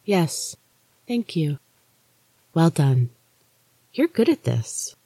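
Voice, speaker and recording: A narrator voicing a protective, enigmatic ghost sister in an earnest, soft-spoken way for a storybook. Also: medium pitch, sing-song, extremely slow, breathy edge, no echo, heavily acted. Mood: gentle.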